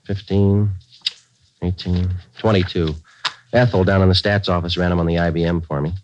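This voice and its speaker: A man's voice: sits low, flat delivery, normal speed, noisy background, natural voice. An American man playing a laconic, businesslike detective sergeant in a 1940s radio crime drama, speaking in clipped, matter-of-fact lines. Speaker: narrator and lead actor. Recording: dull vintage radio recording with music.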